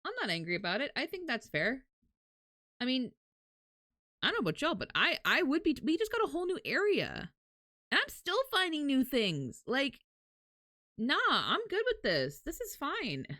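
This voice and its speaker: Lively woman streamer, dry close sound. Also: sits high, normal speed, pauses often, no room sound. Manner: informal, mild swearing. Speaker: livestreamer reacting to a game announcement. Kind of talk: casual live reaction chat with viewers.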